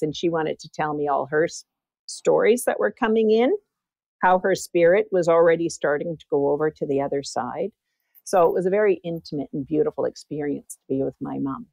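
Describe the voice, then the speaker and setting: A woman's voice: deep voice, a little fast, gravelly, darkish tone, dry recording, low-quality recording. A woman guest and former teacher with a big voice, telling personal stories in an interview. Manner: big voice, anecdotal storytelling. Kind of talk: interview storytelling.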